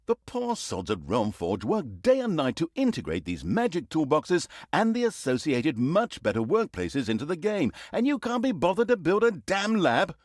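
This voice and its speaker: A man playing a wry, mocking storyteller who narrates a dark fantasy comedy game in a theatrical way. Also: on the high side, sing-song, normal speed, hardly any pauses, no room sound, clean studio sound. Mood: scolding, exasperated.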